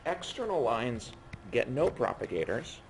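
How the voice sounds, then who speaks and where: A man giving a physics lecture while losing his voice, speaking haltingly and often breaking off mid-phrase. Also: medium pitch, very animated, creaky. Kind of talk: university lecture at a board. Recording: noisy classroom recording.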